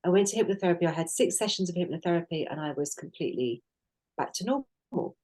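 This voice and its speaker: A middle-aged woman with a lowish, mellow voice talks through her experiences in a relaxed podcast chat. She is earnest and reflective and often stops to restart her sentences. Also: quick pace. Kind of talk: casual conversational podcast.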